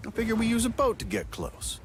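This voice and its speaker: An actor playing a breezy, smooth-talking older agency operative with a folksy, wheedling manner in a video game cutscene. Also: male voice, very high voice, wildly swooping pitch, fast talker, noisy background, heavily acted. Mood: breezy, cajoling.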